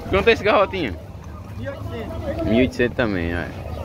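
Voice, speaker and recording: A man with a Northeastern Brazilian accent talks casually as a roving interviewer. His pitch swings up and down in an animated way, and his medium-pitched voice sits in a noisy outdoor market recording. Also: fast talker. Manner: colloquial, repeats answers back. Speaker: vlogger and interviewer at a cattle fair. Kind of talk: casual chat, asking sellers prices. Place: outdoor cattle fair among wooden pens. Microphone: handheld phone camera.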